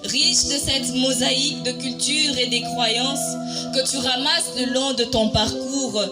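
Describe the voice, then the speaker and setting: A woman reciting a poem in a dramatic spoken-word style, solemn and declamatory, with deliberate pauses. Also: high voice, steady tone. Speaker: poetry reciter. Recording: music bed under the voice. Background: music.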